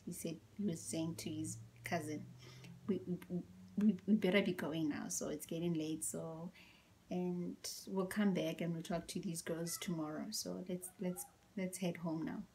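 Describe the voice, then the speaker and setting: Young woman, storytime vlog, low voice, animated, whispered asides, tired. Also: normal speed, slight background noise, rough recording. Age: young adult. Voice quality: deep voice. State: tired from a workout. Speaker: vlogger. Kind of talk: casual storytime chat.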